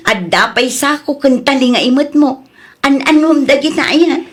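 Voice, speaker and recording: A woman with an Ilocano Filipino accent playing a nagging, sharp-tongued village wife and mother in a comedy audio drama. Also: high voice, a little slow. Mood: teasing. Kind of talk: comedy radio drama acting.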